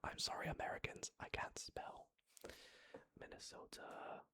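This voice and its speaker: An ASMR creator whispering softly and hesitantly while typing, muttering and correcting themselves as they go. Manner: soft unvoiced whisper, slow and hesitant. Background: keyboard typing.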